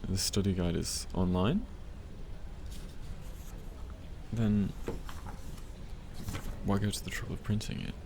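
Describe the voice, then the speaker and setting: Very low, hushed young man acting a study partner. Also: vocal fry. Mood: playful, warm. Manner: hushed, unhurried, hesitant with small hums. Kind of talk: soft-spoken ASMR roleplay. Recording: faint library ambience noise. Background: quiet library ambience.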